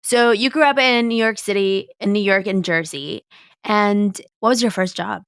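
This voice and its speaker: A woman's voice: mid-range voice, moderate pace, clear, resonant tone, dry recording, clean studio sound. A woman hosting an interview podcast, speaking English with some Spanish phrases mixed in. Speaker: podcast host.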